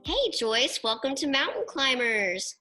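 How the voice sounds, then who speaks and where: A woman's voice: high-pitched, very animated, unhurried, bright, forceful tone, faint music behind. A woman hosting an interview show from her studio, speaking with enthusiasm and emphasis. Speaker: host. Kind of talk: interview show host, intro to camera then casual chat. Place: home studio.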